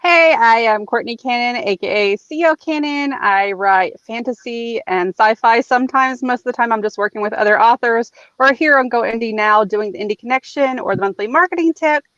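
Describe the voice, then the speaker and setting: A woman working as an author and publisher, introducing herself in a brisk, matter-of-fact way. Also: fairly high pitch, a bit bright, no room sound. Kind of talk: self-introduction on a panel. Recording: dull dry lo-fi mic.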